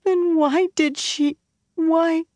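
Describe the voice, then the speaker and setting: A woman voicing a tipsy, proud young noblewoman who turns tearful, in a high, pleading voice. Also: expressive, takes it slowly, dry recording.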